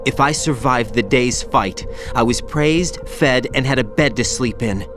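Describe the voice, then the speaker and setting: A man's voice: medium pitch, measured pace, natural voice. A man playing a brooding young soldier. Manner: quiet, brooding and guarded. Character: young male soldier haunted by a child-soldier past. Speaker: game character. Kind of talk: video game cutscene dialogue. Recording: game audio with music under it.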